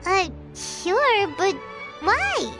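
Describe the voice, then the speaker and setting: Very squeaky tiny fairy companion. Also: female voice, very animated, extremely slow, heavily acted. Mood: puzzled. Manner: refers to self in third person, exclaiming. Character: tiny childlike floating fairy companion, excitable and curious. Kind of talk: video game voice acting. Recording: music behind the voice.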